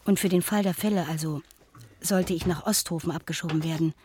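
An actress in a radio play playing an earnest, modest middle-aged woman, reading her letter aloud in an intimate, measured, confiding way. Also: fairly low pitch, steady tone, a little mellow, slight background noise, close to an everyday voice. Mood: confiding, content.

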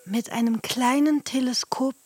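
An actress playing a catty neighbourhood gossip, scornful and confiding, in a radio play. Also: female voice, high-pitched, fairly even intonation, a little slow, breathy edge, soft background music.

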